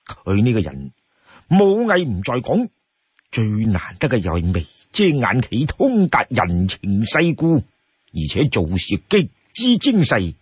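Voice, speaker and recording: A man's voice: medium pitch, husky, dry recording, dull audio, heavily acted. A man voicing an assured, loyal warrior who advises his sworn brother in a storybook tale.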